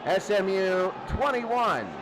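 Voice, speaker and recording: Male play-by-play announcer, high voice, excitable delivery, college football, heavy background noise. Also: slow. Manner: excitable, swelling with each play. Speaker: play-by-play sports commentator. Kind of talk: college football radio-style commentary. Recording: noisy recording with loud background hiss.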